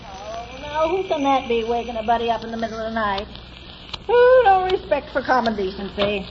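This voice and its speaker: An actress playing a fussy, sharp-tongued older housekeeper with a folksy, scolding delivery in 1940s radio drama. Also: female voice, a little high, very animated, normal speed. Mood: grumbling. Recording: muffled old radio recording, clear hiss.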